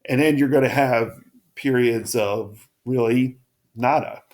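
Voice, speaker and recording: Slow, hoarse weather guy. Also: medium pitch, a little mellow. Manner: slow, halting, many restarts and fillers. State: hoarse, froggy voice. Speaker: weather forecaster. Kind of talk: casual forecast talk over a map.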